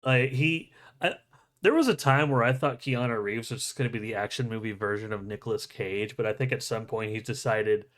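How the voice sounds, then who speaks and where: A man's voice: mid-range voice, brisk, a bit bright. A man with a mid-range voice hosts a casual livestream about movie news. He talks informally and jokingly, and does not mind swearing. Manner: jokey, profane. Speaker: show host.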